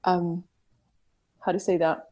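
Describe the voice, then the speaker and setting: A woman from Switzerland, a native Swiss German speaker with a Swiss German accent, talking as a guest in a relaxed interview and pausing now and then to find the right word. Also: fairly low pitch, muffled recording. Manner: thoughtful, searches for words. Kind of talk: casual interview conversation. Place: remote from her home.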